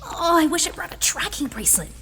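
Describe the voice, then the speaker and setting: Righteous young woman, high and very animated, eager, outspoken, audio drama. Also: fast, full character voice. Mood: excited, impatient. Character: excitable, righteous young female animal-lover, Josephine. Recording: echoey hall with some noise.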